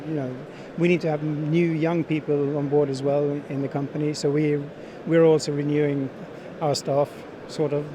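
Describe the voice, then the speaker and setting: A middle-aged man giving unscripted interview answers as a sales manager, speaking in halting phrases with frequent repeats. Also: fairly high pitch, flat delivery. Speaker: sales manager being interviewed at a trade show. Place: busy exhibition hall. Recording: busy trade show crowd noise.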